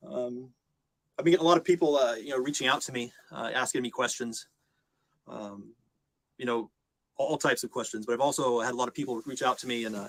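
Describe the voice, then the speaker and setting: A man's voice: mid-range voice, low-quality recording. A man speaking casually and unscripted to live stream viewers, hesitant, polite and plain-spoken.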